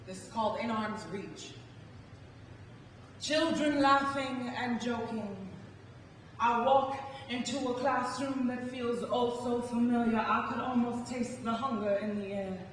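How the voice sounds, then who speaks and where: A woman's voice: a little high, measured pace. A young woman, performing as a spoken-word poet at a live event. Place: live on stage in a large echoey hall. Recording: echoey hall from far off, faint noise.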